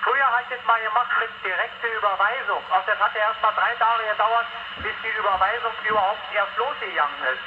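A man's voice: sits high, a little fast. A man chatting casually as an operator over CB radio. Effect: heard over CB radio. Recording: noisy muffled CB radio reception.

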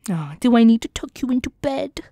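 A woman playing a cocky young commoner thief in an expressive, sardonic audio roleplay, speaking straight to the listener. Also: a little high, fairly quick, dry recording, clean studio sound. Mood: teasing, sardonic. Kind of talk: audio roleplay acting, one-sided dialogue addressed to the listener.

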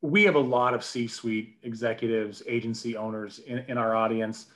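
A middle-aged American man moderates an online session in a medium-pitched voice. He puts his questions in a conversational style over a video call. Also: fairly even intonation, moderate pace, a bit bright. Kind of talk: conversational interview hosting.

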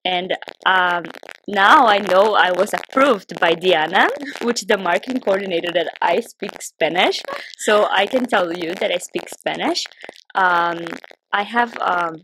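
A young Brazilian woman, a native Portuguese speaker with a Brazilian accent, chatting as a podcast guest and pausing often with ums and restarts. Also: medium pitch, animated, fairly bright tone, a little hiss or hum, rough recording. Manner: hesitant, many ums and restarts. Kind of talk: casual interview chat.

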